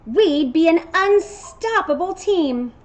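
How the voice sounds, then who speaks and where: A woman playing a loud, boastful storybook goat. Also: very high-pitched, animated, slow pace, pitched above the performer's own voice, full character voice. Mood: excited, friendly. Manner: exclaiming, swaggering, playful. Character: brash, boastful goat who envies a unicorn. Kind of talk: children's picture book character voice.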